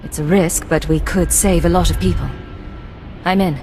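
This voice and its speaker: A woman's voice: fairly low pitch, steady tone, moderate pace, warm tone, soft background music, natural voice. A woman playing a cool, dry-witted spy. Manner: dry, composed. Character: cool female imperial spy.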